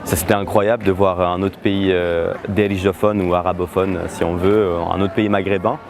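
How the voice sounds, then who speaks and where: Low-voiced man, halting. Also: flat delivery, music in the background. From Switzerland. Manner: hesitant, many filler pauses. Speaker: musician interviewee. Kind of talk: casual interview talk.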